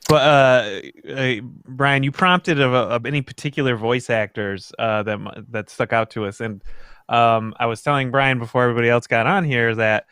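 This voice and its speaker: A man, around his thirties, talking casually as a podcast panelist in a halting, rambling way. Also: mid-range voice, fairly even intonation, fairly bright tone, clear, resonant tone, dry recording. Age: millennial, around 30s. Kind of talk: casual group chat.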